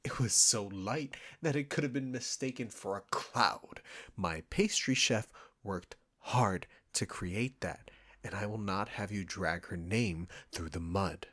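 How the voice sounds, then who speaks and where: A man's voice: on the low side, measured pace, fairly bright tone. A man playing a proud, defensive, condescending restaurant chef in a scripted roleplay spoken straight to the listener. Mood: indignant. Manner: condescending, combative, sarcastic, swearing.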